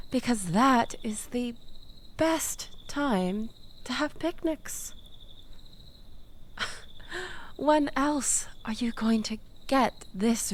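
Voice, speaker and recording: Woman acting as tipsy flirty ex gf in an audio roleplay, super slow n drawn out, swoopy teasing voice, faint crickets behind. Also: mid-range voice. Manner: coy, teasing, mock-wounded, drawn-out with breathy dips. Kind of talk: intimate audio roleplay acting addressed to listener.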